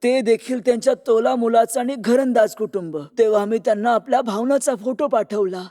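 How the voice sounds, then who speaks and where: Deferential old servant-matchmaker, cartoon tale, male actor: high-pitched, soft-edged tone, eager to please, heavy reverb. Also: fairly quick. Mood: eager, respectful. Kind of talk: cartoon moral story dialogue. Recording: long reverb on voice.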